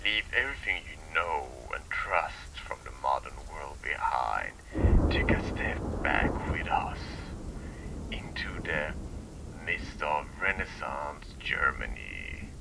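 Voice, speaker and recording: A man voicing a formal, theatrical old-time radio announcer for a midnight horror show, in a hushed tone. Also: a bass voice, sing-song, slow, creaky, far from the mic, noisy background, exaggerated voice. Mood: ominous, theatrical.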